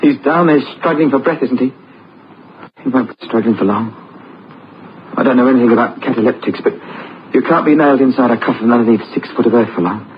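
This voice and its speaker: Through a dull, clearly noisy recording comes a man playing a young thief in a radio drama. He is guilt-ridden and desperate, pleading in an overwrought way at a middling pitch. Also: fast, long pauses, natural voice. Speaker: actor. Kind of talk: amateur radio play acting.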